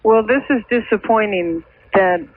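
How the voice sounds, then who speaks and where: A woman's voice: medium pitch, measured pace, slight background noise. A young woman calling in to a radio advice show, chatting casually over the telephone. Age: young adult. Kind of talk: casual phone conversation. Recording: telephone line on radio broadcast.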